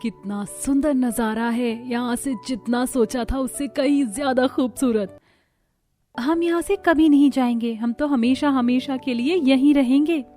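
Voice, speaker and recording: High-voiced eager young village bride played by a woman. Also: a little slow, warm tone, soft background music. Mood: delighted. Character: eager young village bride, dreamy and pleading cartoon daughter-in-law. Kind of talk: cartoon story dialogue.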